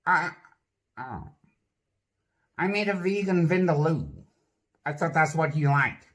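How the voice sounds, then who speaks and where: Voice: medium pitch, animated, unhurried, fairly bright tone. A performer doing a nosy sock puppet. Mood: awkward. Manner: awkward, prying, full of nervous stammers and fillers. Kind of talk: comedy puppet sketch.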